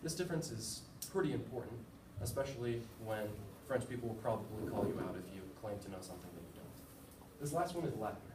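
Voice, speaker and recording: A man's voice: on the low side, animated, a little fast, hardly any pauses. A young man from the United States gives a casual, informative class presentation, with a few fillers and self-deprecating asides. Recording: echoey room, far from the mic, with background noise.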